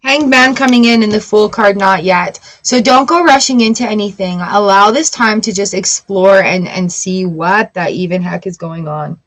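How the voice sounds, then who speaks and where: Woman doing a chill tarot reading, medium pitch, reads card text then goes off on chatty playful tangents. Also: normal speed, hardly any pauses, low-quality recording. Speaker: tarot reader. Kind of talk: casual tarot reading chat.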